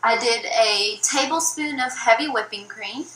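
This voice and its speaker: A young woman narrating a casual food vlog in a flat, low-energy way, with many ums. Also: a little slow, a little hiss or hum, poor audio. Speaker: YouTuber. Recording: old video played from a phone.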